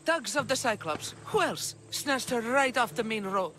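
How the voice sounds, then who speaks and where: Agitated, very high-pitched villager. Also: male voice, animated, moderate pace. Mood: alarmed. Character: agitated, urgent villager bearing bad news. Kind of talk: video game character acting.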